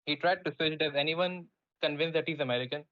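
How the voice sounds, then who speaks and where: Guy with a higher voice grilling someone in disbelief, dull muffled voice chat. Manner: incredulous, challenging, drawn-out questions. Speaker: debate participant. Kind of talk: online voice chat argument.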